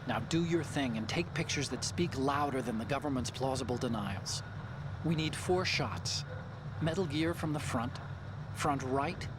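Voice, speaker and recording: An actor playing a chatty, nerdy tech expert who gives mission support in a video game, explaining things in a wry, eager way. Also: male voice, mid-range voice, expressive, measured pace, background noise, lightly acted. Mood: briskly instructive. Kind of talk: dramatic video game acting. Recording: clear outdoor vehicle ambience behind.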